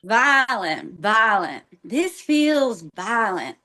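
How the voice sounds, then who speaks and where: An earnest, unflappable woman, played by an actress in a comic stage reading. Her voice is fairly high and very lively in pitch, yet she is matter-of-fact in manner. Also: drawn-out words, full character voice. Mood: calming. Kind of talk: script table read.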